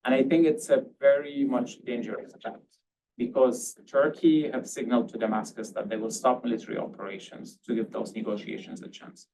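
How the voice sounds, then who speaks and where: A man's voice: medium pitch, steady tone, a little fast. A man from Syria speaking as a panelist at a policy discussion, often hedging and restarting his sentences with um and I mean. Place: panel event hall. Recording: distant mic, faint background noise.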